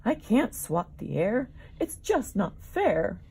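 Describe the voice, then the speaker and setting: A big, jumpy male dinosaur wails and pleads in a children's storybook , played by a woman. The voice is pushed high and swoops about, with a raspy edge and stretched-out words. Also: sing-song, slow, dark tone, heavily acted. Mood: wailing, distressed. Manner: wild high wailing, pleading. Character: wailing, jumpy, unwashed male Jabaria dinosaur. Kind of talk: children's storybook read-aloud.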